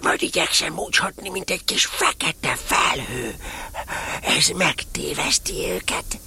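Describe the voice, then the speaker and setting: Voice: medium pitch, sing-song, vocal fry, pushed and bright, husky, exaggerated voice. An actor voicing a naive, pompous little toy bear in a children's radio play, musing with hesitant dignity. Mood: solemn, confiding.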